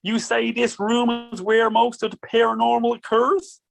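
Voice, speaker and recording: A woman playing a solemn, stern exorcist with a measured delivery in an amateur radio play. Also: on the high side, level tone, creaky, soft background music. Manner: measured, deliberate.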